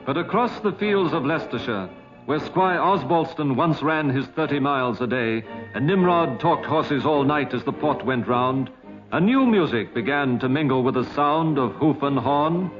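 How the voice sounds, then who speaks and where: A man's voice: a little high, animated, normal speed. Male British documentary narrator, 1950s style. Dramatic, sweeping intonation, music behind, dull old soundtrack. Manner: formal, literary, dramatic.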